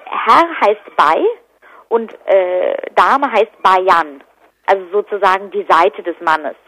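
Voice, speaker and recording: A woman's voice: medium pitch, slow pace, a bit bright. A woman giving spontaneous interview answers as an activist. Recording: dull, lo-fi telephone line.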